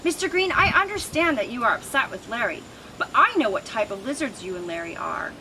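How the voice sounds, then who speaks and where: A woman voicing an excitable, insistent little male pigeon with a squawking delivery in a storybook reading. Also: a little high, a little fast. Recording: noisy outdoor recording with traffic.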